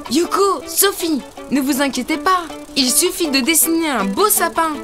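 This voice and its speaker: A high, childlike young hero from a preschool cartoon. The character sounds kind and reassuring, with clear music behind the voice. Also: lively intonation, normal speed.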